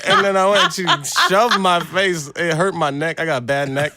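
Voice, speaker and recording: A man's voice: on the high side, animated, normal speed, pushed and bright. A man as a podcast guest in casual chat, laid-back, laughing often and calling people "man". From United States, first-generation of Jamaican parents. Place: podcast studio.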